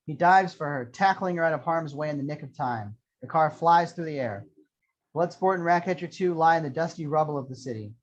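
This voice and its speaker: Man, slightly high voice, scripted action narration, table read, dull lo-fi audio. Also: fairly quick. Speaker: reader of screenplay action lines. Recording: dull lo-fi sound.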